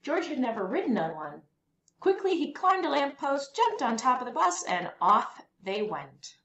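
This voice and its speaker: A woman reading a children's picture book aloud as a storyteller, with expressive, deliberate phrasing for young listeners. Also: high voice. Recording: roomy echo, faint background noise.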